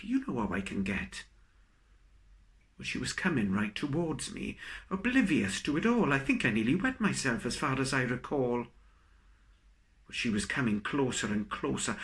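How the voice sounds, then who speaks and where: A man reciting his own comic poem in a theatrical, expressive storytelling manner. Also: mid-range voice. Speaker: poet reading own work. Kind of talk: dramatic comic poetry recital.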